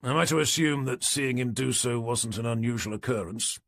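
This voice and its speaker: A man's voice: mid-range voice, moderate pace, fairly bright tone, dry recording, lightly acted. A man voicing a dry, wry detective inspector asking questions in an audiobook, with a British accent. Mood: polite.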